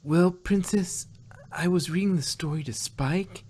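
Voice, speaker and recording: A performer voicing an earnest, curious, bookish young female pony student with a husky voice, for a fan fiction reading. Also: mid-range voice, measured pace, darkish tone, slight background noise, lightly acted. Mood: earnest, respectful.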